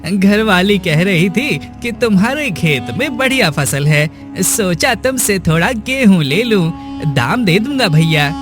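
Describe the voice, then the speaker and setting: A man's voice: high voice, animated, measured pace. A man playing a humble, friendly village neighbour in a cartoon moral story. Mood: humble request. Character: polite village neighbour humbly asking a favour. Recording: music behind the voice.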